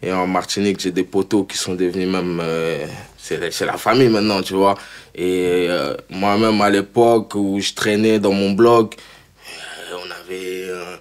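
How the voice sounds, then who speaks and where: A low-pitched young man from Congo chatting in a relaxed interview. He talks loosely, repeats himself and breaks into laughter. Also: moderate pace, clean tone. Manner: laid-back, repeats words, laughs often. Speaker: rapper guest. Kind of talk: casual interview chat.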